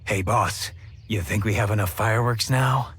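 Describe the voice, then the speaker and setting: A man's voice: fairly low pitch, moderate pace, a bit bright, slightly rough. An actor playing a jittery bandit henchman, hesitant and eager to please his boss, in a game cutscene.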